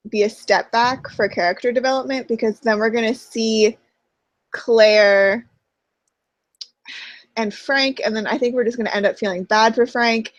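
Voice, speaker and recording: A woman's voice: mid-range voice, no room sound, lo-fi sound. A young woman co-hosting a casual TV recap chat, talkative and self-deprecating, with plenty of fillers. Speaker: co-host.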